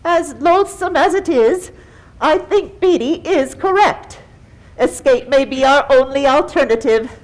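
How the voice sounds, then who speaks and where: A woman voices a haughty lady pig for a children's puppet show. She speaks high and unhurried, with big swings in pitch. Her manner is fussy, grand and easily exasperated. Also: expressive, poor audio. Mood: pompous, worried.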